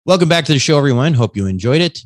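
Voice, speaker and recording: A man from Minnesota hosting a podcast in a relaxed, casual way, often pausing with fillers. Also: fairly high pitch, dry recording, clean studio sound. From Minnesota, USA. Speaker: podcast host.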